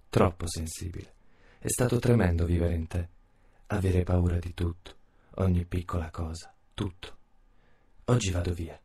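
A man playing a sensitive person's courage as a bitter, resigned, reproachful voice in a radio drama monologue, husky in tone. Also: low voice, mellow.

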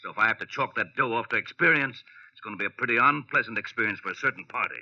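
A man's voice: mid-range voice, racing, slightly rough. An American man playing a grim, threatening Western gambling boss with folksy tough talk, in 1940s radio acting style. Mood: menacing. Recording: muffled old-time radio recording.

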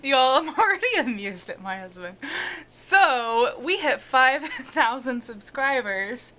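A young woman, chatting casually to a handheld camera at home at night, loud and laughing often. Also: high voice, lively intonation, slow pace. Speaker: family vlogger. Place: home kitchen and living room at night. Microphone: handheld camera at arm's length.

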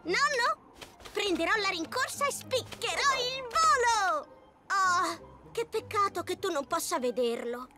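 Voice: very high-pitched, animated, a little slow, over loud music. A performer voicing a tiny, determined baby eagle in a children's cartoon, earnest and hopeful.

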